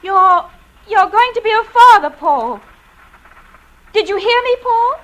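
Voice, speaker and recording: An actress playing a determined, secretive young wife in an old-time radio suspense drama, urgent and emotionally intense. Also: female voice, extremely high pitch, expressive, slow pace, sharp tone, lo-fi sound, full character voice. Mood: excited, anxious.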